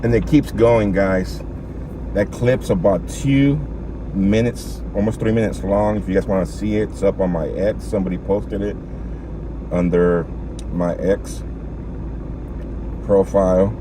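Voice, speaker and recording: A man's voice: fairly even intonation, a little slow, long pauses. A man giving casual, rambling, unscripted live commentary as an independent news streamer, talking informally to his viewers. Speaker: independent livestream news host. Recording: noisy live stream with vehicle-like rumble.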